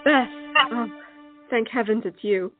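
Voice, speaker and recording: A woman's voice: a little high, unhurried, phone-quality sound, soft background music, natural voice. A woman playing a proud, dramatic young lady in love, indignant and melodramatic, in a period stage comedy. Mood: flustered.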